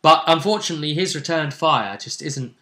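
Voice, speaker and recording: A man retelling a tabletop roleplay session as game master, in animated, emphatic conversational storytelling. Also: on the high side, fairly quick.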